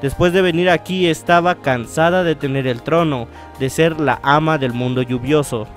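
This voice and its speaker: A man with a slightly high voice hosts a visual novel let's-play. He talks casually and reads on-screen text aloud, stumbling and restarting phrases. Soft game music plays behind him. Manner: halting read-aloud with stumbles and self-corrections. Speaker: gaming channel host.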